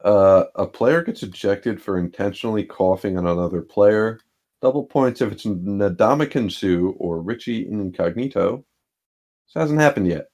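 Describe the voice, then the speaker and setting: A man's voice: on the low side, expressive, moderate pace, a little mellow. A man co-hosting a casual sports podcast, laid-back with dry humor and a habit of chuckling as he talks. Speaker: podcast co-host.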